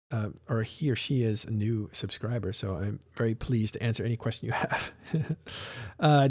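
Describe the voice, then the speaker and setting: A man's voice: mid-range voice, a little mellow, no room sound, studio quality. A man hosting a casual live stream, talking in a rambling way with many restarts and fillers. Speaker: live show host.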